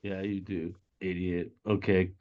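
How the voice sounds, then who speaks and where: An actor playing a gruff, deadpan, mocking doorman in a comedic script read, speaking curtly. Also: low voice, measured pace, dull audio. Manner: curt, deadpan.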